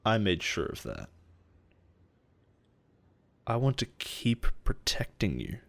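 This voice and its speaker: A man playing a possessive, persuasive boss in an intimate audio roleplay, speaking in a measured, earnest way with deliberate pauses. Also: fairly low pitch, huge pitch swings, vocal fry, exaggerated voice. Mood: grave, protective. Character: possessive, persuasive male CEO boss, smooth and protective. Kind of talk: intimate dramatic roleplay monologue.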